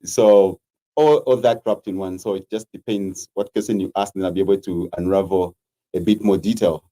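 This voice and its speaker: A man with a Zambian accent answers interview questions as a political candidate. His voice sits low and sounds dark and mellow. He speaks in a measured, earnest way, pausing often on fillers. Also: fairly even intonation, poor audio.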